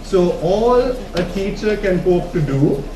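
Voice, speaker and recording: A man's voice: high voice, expressive, slow, over a noisy crowd. A man with a Sri Lankan accent giving an informal conference talk, often checking in with his listeners with short questions. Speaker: conference speaker. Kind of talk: informal presentation to an audience. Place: conference room, talk to a live audience. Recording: echoey room from far off, noisy.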